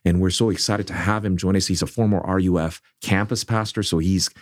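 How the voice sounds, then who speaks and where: A middle-aged man born in South Korea, leading a seminary, speaking in an earnest, measured way with frequent restarts. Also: low voice, steady tone, dry recording, studio quality. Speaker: seminary leader. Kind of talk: conversational interview.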